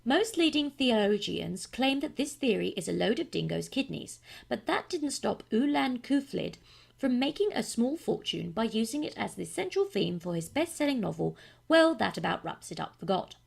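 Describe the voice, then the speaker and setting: A woman voice actor reading an audiobook in an expressive narrating style. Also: a little high, moderate pace, gravelly, husky.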